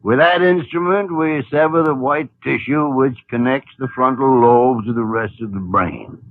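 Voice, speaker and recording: An actor playing a gruff, blustering older senior doctor in a 1950s radio drama. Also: male voice, mid-range voice, normal speed, dry recording, lightly acted. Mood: explaining. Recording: tinny lo-fi 1950 radio broadcast.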